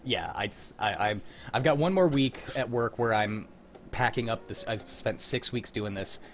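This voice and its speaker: A man's voice: mid-range voice, animated, normal speed. A man hosting a livestream in a laid-back, casual chat, swearing now and then. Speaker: host of a livestream script-reading show. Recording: clearly noisy background.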